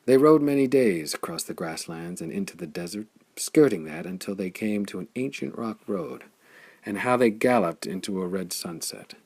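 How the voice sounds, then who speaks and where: A man reading a pulp science-fiction story aloud as an audiobook narrator, with a gravelly, husky voice and a measured, dramatic delivery. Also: sits low, a little mellow.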